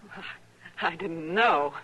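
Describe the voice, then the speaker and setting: An actress playing a proper, nervous and defensive frontier wife in an old-time radio Western. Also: female voice, deep voice, slow, a bit bright, muffled recording, full character voice. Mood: uneasy, polite. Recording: noisy vintage radio drama recording.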